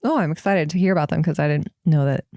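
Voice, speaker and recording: A woman's voice: deep voice, fast talker, darkish tone, dry recording, clean studio sound. A woman co-hosting a podcast, chatting casually in an easygoing, giggly way. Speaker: podcast co-host. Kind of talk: casual chat.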